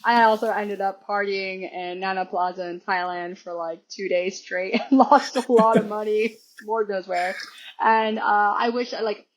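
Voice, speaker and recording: A hoarse woman guesting on a podcast, chatting casually and rambling with restarts and laughs. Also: mid-range voice, fairly even intonation, measured pace, crowd noise. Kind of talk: casual chat. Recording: dull lo-fi recording.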